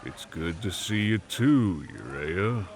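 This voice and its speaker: An actor playing a gruff, hard-nosed swamp man with a temper, curt, grumbling and folksy, in an audio roleplay. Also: sits low, lively intonation, takes it slowly, a crowd in the background, heavily acted. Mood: dry. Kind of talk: audio roleplay acting, dramatic dialogue.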